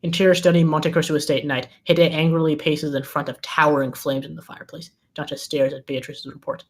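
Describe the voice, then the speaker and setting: Narrator for a film table read, medium pitch, scripted scene descriptions and action lines. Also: level tone, fairly quick. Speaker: stage direction narrator.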